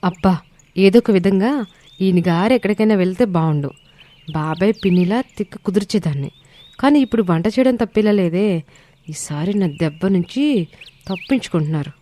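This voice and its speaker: A woman voicing a shrewd, sarcastic young village daughter-in-law in an animated moral story, outwardly polite but firm. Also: medium pitch, normal speed, long pauses, natural voice. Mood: irritated muttering. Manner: firm, slyly sweet.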